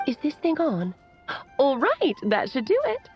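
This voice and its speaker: A playful witch mentor chatters breezily to children in a fantasy game. Her high voice is theatrical and very animated, with a creaky edge, on a slightly muffled recording. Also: a little slow, over music, exaggerated voice. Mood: breezy, playful. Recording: dull, muffled magical voice message.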